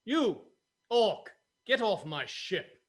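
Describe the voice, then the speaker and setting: A roguish pirate captain from a comic fantasy script, acted out by a man at a table read. He talks emphatically on a slightly high male voice, with very animated intonation. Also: slow pace, exaggerated voice. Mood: commanding.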